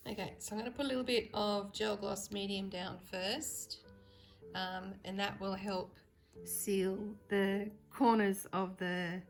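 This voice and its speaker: A woman with a croaky voice hosting a casual painting tutorial, chatting and thinking aloud as she works. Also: medium pitch, steady tone, unhurried, soft background music. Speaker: art tutorial host.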